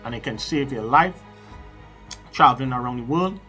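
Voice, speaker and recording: A man's voice: mid-range voice, lively intonation, moderate pace, pushed and bright, faint music behind. A middle-aged man giving casual advice straight to camera in a small room at home, emphatic and preachy, repeating words and speaking directly to his viewers. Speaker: psychic advisor and channel host.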